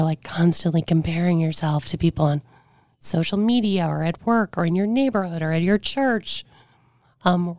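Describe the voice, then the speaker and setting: A woman's voice: on the low side, no room sound. A woman guest on a podcast talking candidly and earnestly about her life, her weakened voice sometimes slipping into a whisper. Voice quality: weakened voice that cannot get loud. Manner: earnest, reflective, with many "like" fillers. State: voice drops to a whisper at times. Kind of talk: candid personal storytelling.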